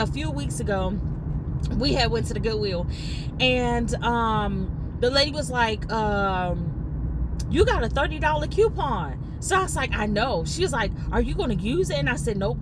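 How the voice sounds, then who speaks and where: An American woman in her late thirties chatting casually to viewers as a vlogger, telling stories in an animated way and re-enacting conversations. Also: on the high side, noisy background. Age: late 30s. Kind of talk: casual storytelling chat to viewers.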